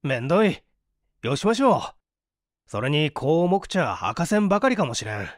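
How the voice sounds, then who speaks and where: A woman's voice: deep voice, very animated, brisk, no room sound, studio quality. A woman voicing a hungry, jobless man who is brazen and wry, with polite but pushy old-fashioned speech, in a story reading. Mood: fed up.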